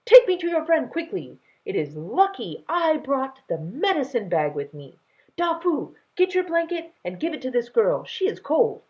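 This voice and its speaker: An anxious, loving old grandfather from a storybook as a woman reader acts him out, urgent and protective. The voice is pitched high and swings widely with emphatic exclamations, through a tinny, phone-like recording. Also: sing-song, normal speed. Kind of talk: children's storybook read-aloud. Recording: tinny, phone-like narrow sound.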